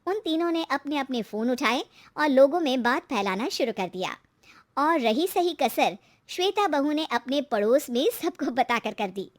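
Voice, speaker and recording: A woman with a high voice serves as narrator of a cartoon tale. She delivers scripted story narration between the scenes. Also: lively intonation, moderate pace.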